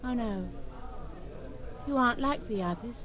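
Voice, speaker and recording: A woman's voice: a little high, a little slow, a bit bright, some chatter behind. An actress playing a poor, hard-bitten young orchestra girl, desperate and pleading, in a radio drama.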